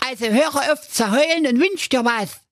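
A man voicing a tiny, cheeky magic cheese in a high falsetto that talks briskly and bossily. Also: a bit bright, no echo, clean studio sound, heavily acted.

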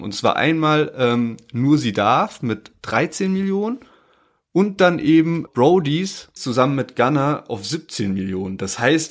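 A young man co-hosting a casual podcast chat, talking loosely with lots of fillers and asides. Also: mid-range voice. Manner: relaxed, rambling, many fillers. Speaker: podcast co-host. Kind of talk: casual chat about rap releases.

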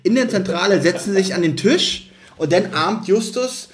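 A man with a fairly high voice chats as a podcast co-host. He retells a story informally, feeling for the right word and briefly acting out lines as he goes. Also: lively intonation, moderate pace. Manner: acts out quotes, hesitant searching for words. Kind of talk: casual podcast chat, retelling and critiquing a story.

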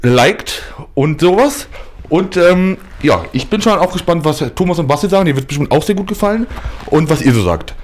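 Halting man with lively, swooping pitch. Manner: hesitant, halting, rambling. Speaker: host and creator of an audio piece. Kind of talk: casual spoken audio diary sign-off.

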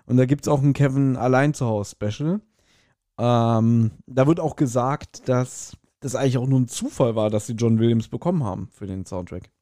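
Mellow-voiced man chatting casually, dry close sound. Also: medium pitch, normal speed, no echo. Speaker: podcast co-host. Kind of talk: casual chat.

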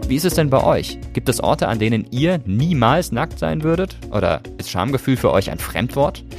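A man's voice: medium pitch, big pitch swings, normal speed, vocal fry, music in the background. A man, hosting a podcast with scripted narration. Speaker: podcast host.

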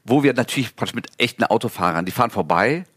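Fast-talking man in his forties, dry sound. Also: medium pitch, lively intonation, a bit bright, slightly rough, no room sound. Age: 40s. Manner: rambling, many fillers and restarts. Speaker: filmmaker being interviewed. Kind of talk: casual interview talk.

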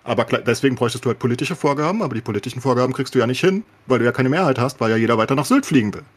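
A man with a husky edge to his voice, speaking at a rapid clip. He argues like a podcast co-host among friends, colloquial and opinionated, dropping English swear words into his sentences. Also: medium pitch.

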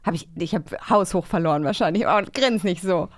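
A woman's voice: fairly low pitch, fairly quick, no echo, clean studio sound. A woman co-hosting a casual chat among friends, speaking in a familiar, informal and effusive way.

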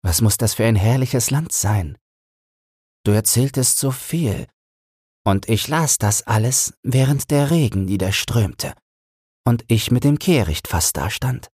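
Man voicing a gentle, slow-talking old woman, higher-pitched. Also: animated, slightly rough, dry recording, clean studio sound. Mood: fond, excited. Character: gentle, nostalgic old sailor's wife, fond and reminiscing. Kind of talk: audiobook fairy-tale character reading.

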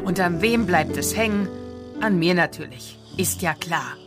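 A woman's voice: lowish voice, measured pace, music in the background, close to an everyday voice. A woman playing Santa's harried, pragmatic and grumbling wife in a fairy-tale radio play. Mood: exasperated.

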